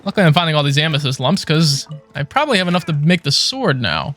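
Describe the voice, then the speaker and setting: A man's voice: fairly high pitch, animated, quick pace, fairly bright tone. A man giving casual live gameplay commentary as a streamer, with a playful, wry way of talking. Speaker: game streamer. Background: faint game music.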